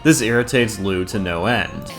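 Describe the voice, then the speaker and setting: A man's voice: on the low side, moderate pace. A man hosting a scripted countdown review, talking casually and swearing freely. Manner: casual, profane. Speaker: video reviewer and host. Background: music.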